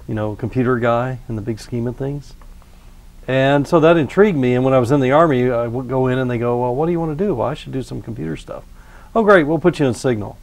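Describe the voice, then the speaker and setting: A man's voice: mid-range voice, darkish tone. A man in his fifties from Houston, telling his life story as a guest speaker with easygoing, wry humor. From Houston, Texas. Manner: easygoing and wry, dry self-deprecating humor. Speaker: guest game developer. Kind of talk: relaxed personal storytelling. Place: university lecture room.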